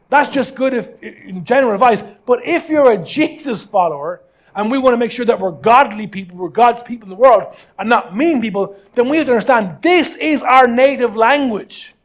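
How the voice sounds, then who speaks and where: A man preaching a conversational, motivational sermon as a pastor, repeating phrases for emphasis. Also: very high voice.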